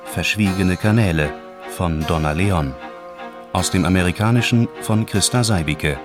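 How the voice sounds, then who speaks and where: A man reading scripted radio drama credits as an announcer, in a measured, formal style. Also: sits low. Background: music.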